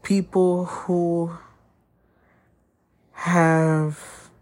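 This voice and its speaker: A woman whose first language is Spanish, hosting a podcast and talking candidly about a breakup, often pausing to find her words. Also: lowish voice, flat delivery, a little mellow. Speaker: podcast host. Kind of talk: personal monologue.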